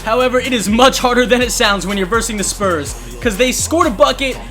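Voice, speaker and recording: A man's voice: extremely high pitch, a little fast, pushed and bright, faint music behind. A man giving self-hyping play-by-play commentary on his own basketball video game, narrating each play in the present tense. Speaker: video gamer and channel host.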